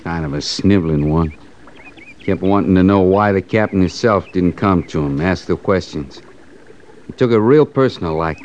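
Actor as low-voiced, folksy cavalry sergeant. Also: male voice, moderate pace, a little mellow, close to an everyday voice. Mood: matter-of-fact. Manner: plain, folksy frontier talk. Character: loyal, rough-spoken cavalry sergeant man. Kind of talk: 1940s-50s radio western acting. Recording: dull, noisy old radio recording.

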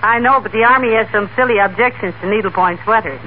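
Female actor: dry, teasing wife, 1940s radio comedy, loud static, telephone-thin sound. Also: mid-range voice, fast talker, close to an everyday voice. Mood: homey, teasing. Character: dry, teasing, good-humored housewife. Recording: very noisy, tinny 1940s radio recording.

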